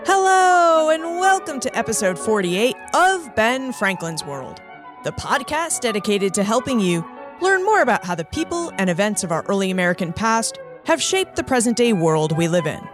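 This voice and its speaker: A woman hosting a history podcast, reading a scripted introduction and then welcoming and questioning her guest in an upbeat, friendly way. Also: mid-range voice. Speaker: podcast host.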